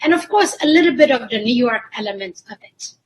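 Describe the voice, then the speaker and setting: A woman's voice: fairly high pitch, lively intonation, fast. A woman from Ethiopia who grew up in Israel, a chef and cafe owner answering interview questions. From born in Ethiopia, grew up in Israel. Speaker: chef and restaurant owner, guest.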